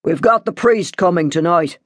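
A woman's voice: fairly low pitch, moderate pace, dry recording, voice pushed up, close to an everyday voice. Woman acting a stern, domineering father. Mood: curt. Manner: curt, sharp-toned, bossy. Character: stern, domineering middle-aged father, curt and angry.

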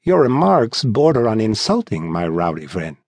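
A man voicing a refined, languid young nobleman in an audiobook, haughty and bored, speaking formally and with measured disdain. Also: on the low side, sing-song, darkish tone, husky, no room sound, heavily acted. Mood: haughty, offended. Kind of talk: audiobook character voice.

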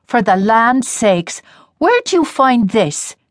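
A woman voicing a gruff, suspicious old grandmother who drawls in a slow, folksy way. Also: on the high side, big pitch swings, no echo, studio quality, pitched above the performer's own voice.

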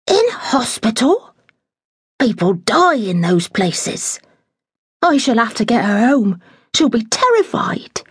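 A flustered middle-aged housekeeper, bossy and quick to make a drama of things, as a woman reads her in a storybook. Her voice sits a little above the reader's own, slightly husky, with lively swings in pitch. The sound is close and dry. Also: no room sound, exaggerated voice. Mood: anxious. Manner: fussy, dramatic, blunt.

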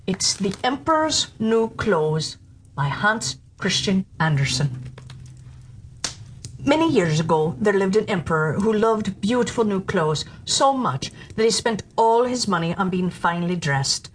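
A woman narrating children's stories in an expressive, deliberate and playful theatrical style. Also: lowish voice, slight background noise, rough recording. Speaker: storyteller and audiobook narrator.

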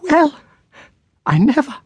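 A man voicing a kindly, fussy older spinster schoolmistress who flutters and frets in a high, flustered voice. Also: very animated, slow pace, dry recording, exaggerated voice. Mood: shocked.

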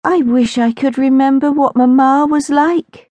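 A prim, old-fashioned young noble girl speaks high and earnest in formal phrases, as a woman reader puts her on. The tone is mellow and the sound is close and dry. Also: big pitch swings, moderate pace, no echo.

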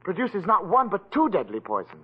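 An actor playing an eerie, wasted invalid obsessed with poisonous plants, excitable and fussy, in a vintage radio mystery. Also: male voice, high voice, fairly quick, husky, exaggerated voice. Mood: gleefully lecturing. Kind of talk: 1940s radio mystery acting. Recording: muffled old radio recording, faint hiss.

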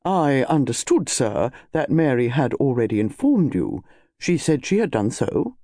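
A man's voice: medium pitch, sing-song, measured pace, dark tone, no echo, studio quality. A man voicing an impressive, very formal and reproachful English butler in an audiobook story. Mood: solemn, reproachful.

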